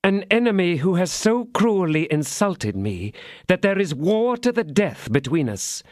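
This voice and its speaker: A man voicing a scheming, vindictive noblewoman who speaks in a measured, coaxing way. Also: high voice, very animated, no room sound, studio quality, voice pushed up.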